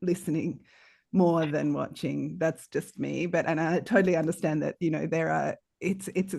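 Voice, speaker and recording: A woman with a medium-pitched voice talks in an informal panel conversation. She sounds like a podcaster thinking aloud, reflective and conversational. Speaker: podcaster and interviewer.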